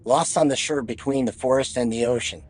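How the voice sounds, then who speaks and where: An actor playing an intense man reciting cryptic verse in a video game, with a husky, rough voice. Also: mid-range voice, a little fast, a little mellow, lightly acted. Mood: intense, ominous.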